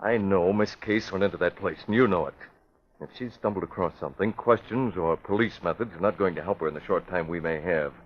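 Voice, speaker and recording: Radio vigilante hero, played by a man: low male voice, firm and commanding, dull, slightly noisy vintage recording. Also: brisk, lightly acted. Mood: resolute. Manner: commanding, terse orders. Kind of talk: classic radio drama acting.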